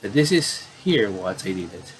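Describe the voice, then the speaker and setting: A man's voice: on the low side, big pitch swings, normal speed, soft background music. A man doing casual let's play commentary, reading game text aloud and rambling a little as he repeats and rephrases himself. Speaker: gamer reading a visual novel aloud with commentary.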